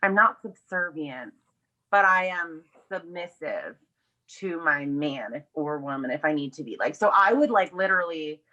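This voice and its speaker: Female podcast guest, bright forceful voice, brash and playful, swears freely in loose candid banter. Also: deep voice, animated, moderate pace, lo-fi sound. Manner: brash, playful, foul-mouthed.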